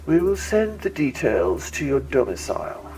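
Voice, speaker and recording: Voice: mid-range voice, sing-song, darkish tone, low-quality recording. A narrator voicing a pompous male bird-like alien mayor full of bureaucratic contempt, in an audiobook story. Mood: grudging contempt. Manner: pompous, clipped contempt, drawn-out emphasis. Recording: music bed behind.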